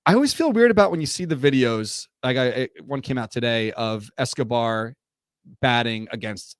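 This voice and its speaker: A man's voice: mid-range voice, lively intonation, normal speed, clear, resonant tone, no echo. Host, male, casual rambling chat, voice worn from a cough, dry studio sound. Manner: rambling, laid-back, many fillers. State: recovering from a cough. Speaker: podcast host. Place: podcast studio.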